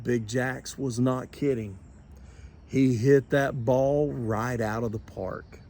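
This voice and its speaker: An older man reading a children's storybook aloud with expressive, deliberate storytelling. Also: medium pitch. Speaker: grandparent storyteller. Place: outdoors.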